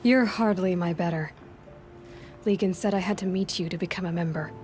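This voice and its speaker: An actress playing a determined outsider huntress in a video game, speaking in a direct, firm way. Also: female voice, on the low side, normal speed, a little mellow, soft background music, natural voice. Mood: defiant.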